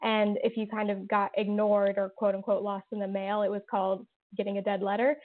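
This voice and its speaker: A woman's voice: mid-range voice, little pitch movement, a little fast. A woman, a horror writer and interview guest, answering thoughtfully with lots of fillers and restarts. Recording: tinny phone-like line.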